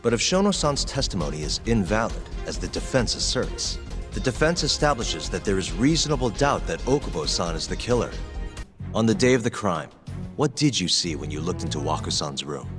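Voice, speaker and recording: A composed, wry lawyer-detective from a dubbed video game. His voice sits low and moves freely up and down, with music playing behind it. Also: very animated, fairly quick. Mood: firm, formal. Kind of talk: English dub cutscene acting.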